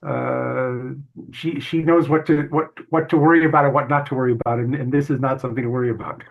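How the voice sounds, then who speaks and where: A man's voice: mid-range voice, fairly even intonation, fast, creaky. Interview guest, male, Hungarian as first language, rambling and easygoing, on muffled call audio. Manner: rambling, self-correcting, easygoing. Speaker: guest photographer. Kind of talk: casual interview chat. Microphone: video call.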